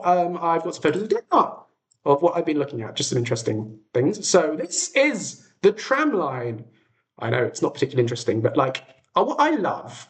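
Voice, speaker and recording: A young British man hosting a livestream, chatting casually to viewers in an enthusiastic, whimsical way. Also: on the high side. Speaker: livestream host.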